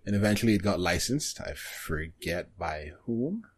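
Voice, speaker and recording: A man's voice: low-pitched, big pitch swings, normal speed, crowd noise. A man co-hosting a casual podcast about anime, speaking hesitantly and often trailing off. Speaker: podcast co-host.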